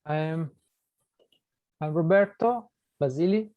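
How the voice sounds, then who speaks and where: A man introducing himself haltingly in an online meeting. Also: on the high side, no echo. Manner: halting, stumbling. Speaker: healthcare consultant. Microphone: video call. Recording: phone-like video call audio.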